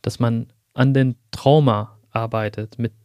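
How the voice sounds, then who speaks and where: Soft-spoken young man. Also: medium pitch, fairly even intonation, dry recording, clean studio sound. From Syria. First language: Arabic. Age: young adult. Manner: soft-spoken, reflective, halting. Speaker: guest. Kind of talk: personal interview.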